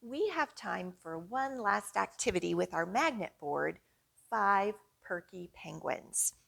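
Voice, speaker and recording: A woman leading a storytime for young children, reading expressively and guiding them along in an encouraging, teacherly way. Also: mid-range voice. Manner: slow, expressive, encouraging, with clear teacherly guidance. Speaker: children's librarian hosting storytime.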